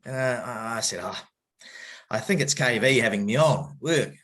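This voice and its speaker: A man's voice: medium pitch, normal speed, a bit bright. A middle-aged man with a New Zealand accent, telling his story in a podcast interview, hesitant and full of fillers and restarts but open and reflective. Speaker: guest, cricket coach.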